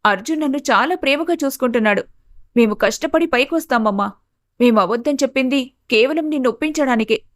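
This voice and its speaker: Pleading, loving young daughter for a storybook drama done by a woman narrator, high voice, dry sound. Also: a little fast, dry recording, lightly acted. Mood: tearful pleading.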